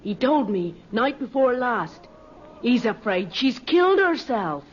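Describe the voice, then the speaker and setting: A woman's voice: on the high side, sing-song, a little slow. A woman playing a brash, sassy young countrywoman in an old-time radio drama. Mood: blunt, confiding. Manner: sassy, blunt. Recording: muffled, noisy old radio recording.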